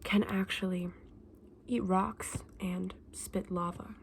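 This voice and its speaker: A woman whispering breathily in a gentle, unhurried ASMR roleplay as a shopkeeper talking to a customer. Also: mid-range voice, steady tone, creaky, slight background noise. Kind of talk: whispered ASMR roleplay as a dragon egg saleswoman.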